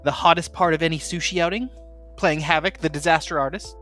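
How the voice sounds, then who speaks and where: A man with a slightly high voice hosts a tabletop game podcast as its game master. He talks casually and jokes along as he goes. Faint music plays underneath. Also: fairly even intonation, fairly quick, sharp tone. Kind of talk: casual hosting of an actual-play tabletop podcast.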